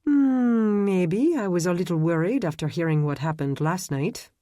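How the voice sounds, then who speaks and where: A woman voicing a cheerful young woman with a lilting French accent, talking quickly. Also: fairly low pitch, mellow, no room sound, studio quality, close to an everyday voice. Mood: bright, friendly.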